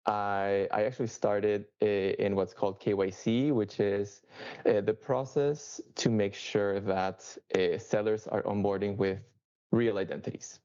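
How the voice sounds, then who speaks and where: A low-voiced man with a Chilean Spanish accent, speaking English as a second language. His tone is gravelly and mellow, full of fillers and restarts. The audio sounds muffled and lo-fi. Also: steady tone. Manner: halting, many fillers and restarts. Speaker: software engineer speaker. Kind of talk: casual work talk.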